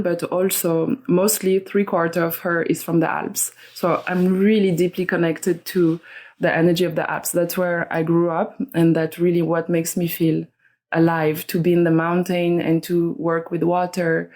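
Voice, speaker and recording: A middle-aged woman from Switzerland, a guest speaking slowly and softly in a reflective interview, often pausing to search for words. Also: fairly low pitch. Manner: slow, soft-spoken, searching for words. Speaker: guest, somatic educator and astrologer.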